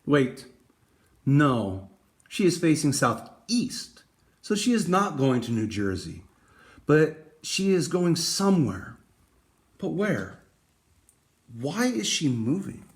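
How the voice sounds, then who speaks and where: A man reading a picture book aloud, with dramatic emphasis and deliberate pauses. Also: on the high side, sing-song. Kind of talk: expressive storybook read-aloud.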